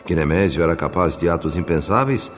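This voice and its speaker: A Brazilian man narrating a scripted radio drama in a formal, measured manner. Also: deep voice. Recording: long reverb, music behind.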